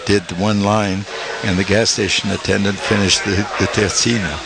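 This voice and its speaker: An older man from Italy, a small press publisher, being interviewed and speaking haltingly with many fillers and restarts. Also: fairly low pitch, flat delivery, creaky, background noise. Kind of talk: interview conversation.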